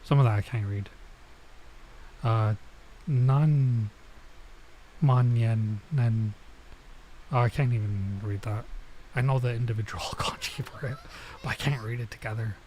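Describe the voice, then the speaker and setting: A man's voice: medium pitch, darkish tone, a little hiss or hum, low-quality recording. A man doing a casual let's play, reading visual novel text aloud and commenting as he goes. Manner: halting when sounding out foreign words. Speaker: streamer playing a visual novel.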